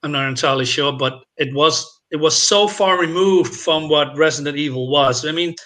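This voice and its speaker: A man chatting casually on a film discussion panel, with halting, repetitive phrasing. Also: fairly high pitch, bright, forceful tone. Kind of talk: casual chat.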